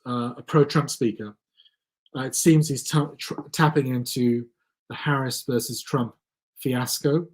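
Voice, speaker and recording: A man hosting a live election-night stream, hesitant with many ums, losing his voice a little. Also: mid-range voice. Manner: hesitant, frequent ums and restarts. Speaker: livestream host. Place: studio.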